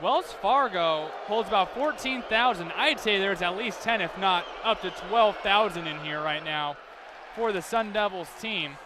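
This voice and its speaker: High-pitched American man calling basketball. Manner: detailed, running description of the action. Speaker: play-by-play announcer. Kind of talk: live basketball play-by-play commentary. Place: courtside in a large basketball arena. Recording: loud crowd and arena noise behind.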